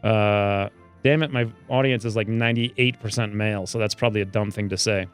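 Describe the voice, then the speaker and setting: A young man casually commenting while he plays a game, excitable and rambling, with self-mocking asides, speaking close to a desk mic. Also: on the low side, brisk, clear, resonant tone, soft background music. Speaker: gaming video host. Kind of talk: casual let's play commentary.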